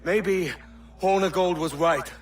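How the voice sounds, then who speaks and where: Exasperated, high-voiced pirate captain. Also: male voice, takes it slowly. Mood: bitter, furious. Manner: blunt, exasperated, cursing. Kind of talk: video game cutscene acting.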